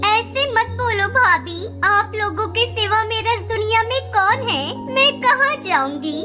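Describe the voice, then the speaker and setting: A woman voicing a gentle, earnest female cartoon bird, a young mother who speaks politely and expressively. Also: very high voice, animated, unhurried, over music. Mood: sad, pleading. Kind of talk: Hindi cartoon moral-story dialogue.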